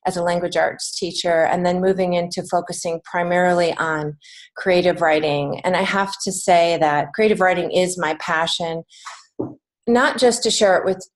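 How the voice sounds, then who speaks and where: Woman podcast guest, slightly low voice, talks things through earnestly like a teacher. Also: steady tone, moderate pace. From United States. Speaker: podcast guest, children's author and creative writing teacher. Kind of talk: conversational interview.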